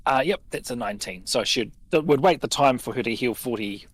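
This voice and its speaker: A man's voice: medium pitch, brisk, breathy edge. A man chatting casually as a player in a tabletop game, tentative and often searching for his words.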